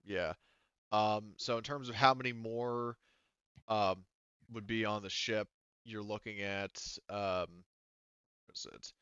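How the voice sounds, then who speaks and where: A man running a tabletop roleplaying game, explaining casually and haltingly as he thinks aloud. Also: lowish voice, steady tone, bright, forceful tone, clear, resonant tone, dry recording, dull audio. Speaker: game master.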